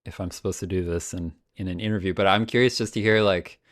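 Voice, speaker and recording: Very deep-voiced man, laughing guest. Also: steady tone, fast, no echo, studio quality. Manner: laughs often, hesitant with many ums. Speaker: podcast guest. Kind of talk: casual chat.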